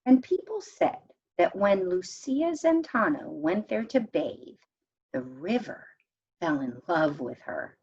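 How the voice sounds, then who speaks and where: A woman reading a children's picture book aloud in a measured, expressive storytime manner, as if to young listeners. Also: low voice, husky, highs cut off. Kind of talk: read-aloud storytime narration.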